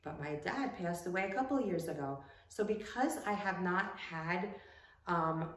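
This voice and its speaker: A woman's voice: on the low side, animated, a little slow, far from the mic. A woman teaching a home lesson to children, who speaks Portuguese as her first language and keeps checking in with her students by asking "right?". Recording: echoey room, voice from off the mic, faint ambience.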